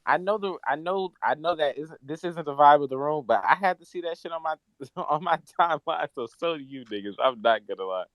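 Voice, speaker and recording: A man in a casual discussion, talking in a quick, offhand, profane way. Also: fairly high pitch, animated, a bit bright, no echo, poor audio.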